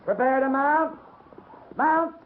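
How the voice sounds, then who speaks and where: A thin, muffled vintage radio recording of a cavalry sergeant calling orders. The voice is very high and bellowed, with very slow, stretched commands, as in a 1950s radio western. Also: male voice, slight background noise. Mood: bellowing. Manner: shouted, drawn-out parade commands. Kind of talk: 1950s radio drama acting.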